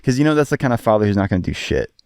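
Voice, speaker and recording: Man, podcast co-host, low voice, laid-back dry humor, casual chat. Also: big pitch swings, racing, a little mellow, smooth.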